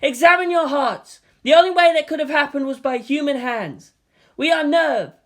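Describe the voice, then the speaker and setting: Reader performing young male zealot, storybook oratory, high pitch, forceful, declamatory, impassioned. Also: moderate pace. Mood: grave, insistent. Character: impassioned young man preaching with chainsword through megaphone. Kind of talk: dramatic fan fiction reading.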